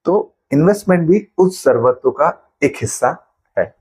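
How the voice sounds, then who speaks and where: A man's voice: a little high, expressive, unhurried, darkish tone, slightly rough. A man, a financial planner patiently explaining money matters with everyday examples in an interview.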